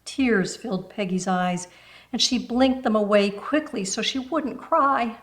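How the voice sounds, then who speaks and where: A woman reading a children's book aloud in expressive storybook narration. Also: fairly high pitch, a little slow.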